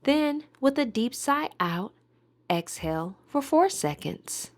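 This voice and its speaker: A woman guiding a meditation in a soothing, deliberate manner with gentle pauses. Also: fairly high pitch, very animated, dry recording. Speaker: meditation guide. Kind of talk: guided meditation narration.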